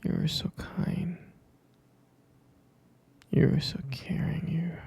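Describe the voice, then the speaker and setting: Male actor as tender boyfriend for ASMR roleplay: breathy whisper, heavy gravelly creak, gentle and hesitant. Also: on the high side, steady tone, heavily acted. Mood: tender, reminiscing. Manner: slow, gentle, hesitant, pausing and repeating. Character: tender, devoted boyfriend soothing his pregnant partner.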